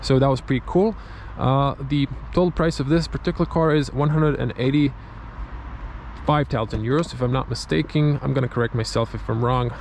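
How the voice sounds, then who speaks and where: Croatian-accented man with a creaky voice. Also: medium pitch, fairly even intonation, normal speed, a little mellow. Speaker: car reviewer. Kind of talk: casual point-of-view car review commentary. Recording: clear vehicle and ambient noise. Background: car and ambient noise.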